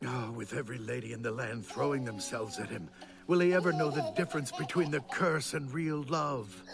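A man playing a commanding, desperate king and father in an animated film, with a gravelly, forceful delivery. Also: mid-range voice, brisk, lightly acted. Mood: worried, weary. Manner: forceful, imperious. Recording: music behind the voice.

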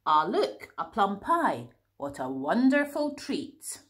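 A woman's voice: medium pitch, big pitch swings, slow, fairly bright tone. A woman voicing a greedy, mischievous male rhino who has escaped from the zoo, savoring every treat. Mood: greedy, pleased.

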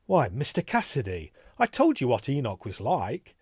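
Man acting an eerily earnest prisoner, raised pitch. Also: wildly swooping pitch, moderate pace, slightly rough, no echo, studio quality, heavily acted. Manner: earnest, insistent. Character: simple, eerily earnest male prisoner devoted to his demon. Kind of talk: dramatic horror story reading.

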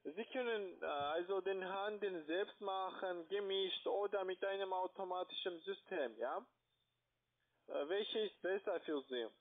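Man, high voice, foreign accent, non-native, insistent sales pitch, tinny phone line. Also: a little slow. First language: not German. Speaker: call-centre investment salesperson. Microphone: phone call.

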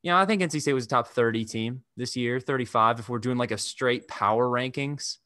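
A young man hosting a sports podcast over a video call, talking in a measured, analytical way at a desk microphone. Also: mid-range voice, pushed and bright, dry recording, studio quality. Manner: measured, analytical, restarts phrases. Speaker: podcast host. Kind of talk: casual sports talk. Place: indoor room.

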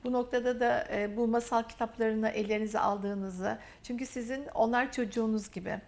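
A woman's voice: on the high side, fairly even intonation, moderate pace, creaky, a little mellow. A woman hosting a university TV interview, praising her guest in repeated emphatic phrases. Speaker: interview host. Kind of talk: warm, effusive interview talk.

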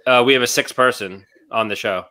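A man with a mid-pitched, bright and forceful voice. He banters casually with friends as a podcast panelist on a video call. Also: a little fast. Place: home room on a video call.

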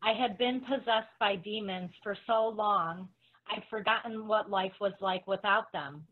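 A woman's voice: mid-range voice, measured pace, lightly acted. A woman playing a grateful, devoted follower in an amateur dramatic reading, giving earnest testimony over a tinny video call. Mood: reflective.

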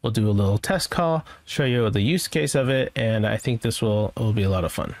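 A man presenting a software tutorial in a casual, informal way, thinking aloud as he goes. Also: fairly low pitch, brisk, dry recording. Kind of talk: casual screen-share software walkthrough.

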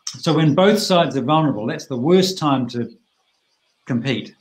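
A middle-aged man teaching a bridge hand over a video call, plain-spoken and chatty with lively asides. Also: fairly high pitch, normal speed.

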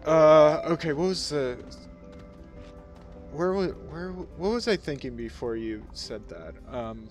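A man's voice: a little high, lively intonation, unhurried, faint music behind. A man co-hosting a game recording, talking casually and hesitantly, with many fillers. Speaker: let's-play co-host. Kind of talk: casual gaming chat.

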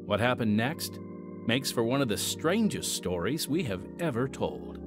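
A man's voice: mid-range voice, big pitch swings, measured pace, over music. A man hosting and narrating an audio drama podcast in a scripted, dramatic but conversational style.

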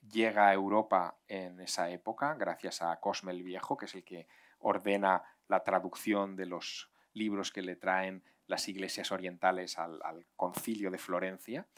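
A man, speaking on an outdoor stage through a headset mic as an invited author answering audience questions in a lively, digressive way. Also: low-pitched. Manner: animated, hand-gesturing, discursive with asides.

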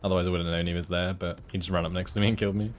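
A man's voice: low-pitched, flat delivery, very fast. A man in his twenties giving casual, slangy commentary and chatting with a friend while he plays a game. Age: 20s. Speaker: gamer recording gameplay with a friend. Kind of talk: casual gaming chat and live commentary. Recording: clear gunfire and vehicle game noise behind. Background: war video game sounds.

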